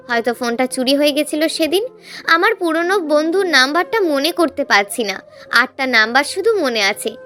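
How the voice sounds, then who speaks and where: High female voice, story narrator, scripted emotional delivery, soft music behind.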